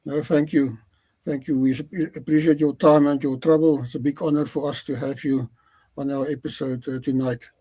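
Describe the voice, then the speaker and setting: A man's voice: fairly high pitch, level tone, dark tone. A man hosting a podcast interview, speaking formally and politely with frequent hesitations. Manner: polite, halting with many fillers. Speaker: podcast host.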